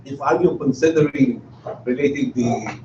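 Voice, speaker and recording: A man, asking a long, halting question from the floor at a public forum, restarting his sentences often. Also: mid-range voice, a little mellow. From Philippines. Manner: hesitant, many restarts and fillers. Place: meeting hall. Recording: roomy, dull hall recording with faint noise.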